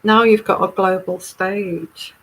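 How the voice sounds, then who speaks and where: A woman with a calming, soothing voice, hosting a live tarot stream and chatting casually as she reads viewer comments. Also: mid-range voice, a little hiss or hum, low-quality recording. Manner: unhurried, chatty and rambling.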